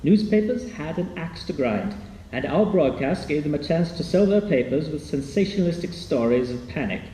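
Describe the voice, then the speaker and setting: An actor playing a formal, wordy male commentator speaking in measured tones in a radio docudrama. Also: a little high, animated, mellow, close to an everyday voice. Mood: dry, critical. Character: dry indignant commentator on the radio scandal. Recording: echoey, distant, faint noise.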